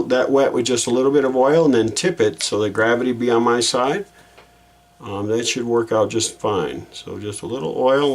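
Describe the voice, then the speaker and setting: Informal man talking through repair work, noisy background. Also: medium pitch. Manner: informal, folksy, thinking aloud as works. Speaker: repair hobbyist hosting a how-to video. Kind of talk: casual hands-on repair demonstration, talking while working.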